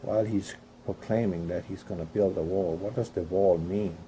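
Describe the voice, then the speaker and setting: Low dark male voice from Europe, halting news reader with commentary, faint hiss behind. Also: steady tone, low-quality recording. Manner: halting reading with restarts and coughs. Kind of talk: reading news aloud with opinionated commentary.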